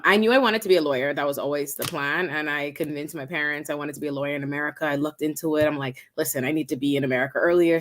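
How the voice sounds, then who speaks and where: A woman's voice: deep voice, fairly bright tone. A young woman from the Bahamas, a public defender, speaking as a guest in an emphatic, articulate way. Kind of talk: casual interview talk.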